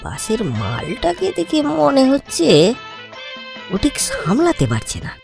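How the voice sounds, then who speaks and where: A woman's voice: sits high, wildly swooping pitch, a little slow, gravelly, exaggerated voice. A woman voicing a teasing, mischievous male pal in a comic cartoon. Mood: sly. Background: music.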